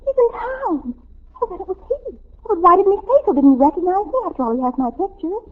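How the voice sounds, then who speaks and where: A woman's voice: very high-pitched, big pitch swings, quick pace. A woman playing a gushing, dreamy, star-struck teenage girl in 1940s radio comedy style, flustered and melodramatic. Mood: bewildered. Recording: old narrowband radio recording.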